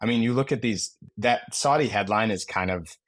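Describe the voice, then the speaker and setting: A man co-hosting a casual market discussion, measured and conversational. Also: on the low side, fairly bright tone, no room sound. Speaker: podcast co-host.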